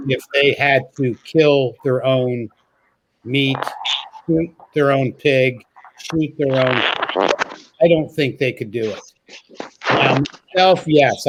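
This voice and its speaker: A man's voice: medium pitch, slight background noise, rough recording. A man hosting a live-stream debate on diet, speaking plainly and stressing his points. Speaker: host.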